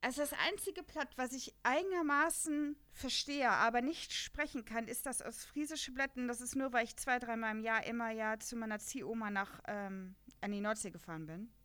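A woman from the Lower Rhine in Germany talks in a fairly high voice. She is a podcast co-host in casual conversation, chatty and rambling, with a self-deprecating way of putting things. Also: normal speed, few pauses, fairly bright tone, clear, resonant tone. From Lower Rhine region, Germany. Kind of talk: casual chat about an audio drama.

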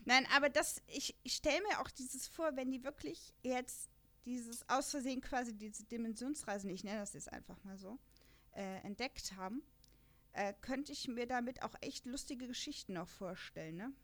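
A woman's voice: on the high side, normal speed, fairly bright tone. A chatty, enthusiastic woman co-hosting a podcast, talking casually about an audio drama and often breaking into laughter. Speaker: podcast co-host.

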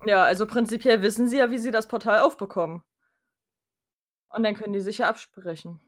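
A woman's voice: medium pitch, a little fast. A woman co-hosting a podcast in casual chat, explaining things in a conversational way. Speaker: podcast co-host.

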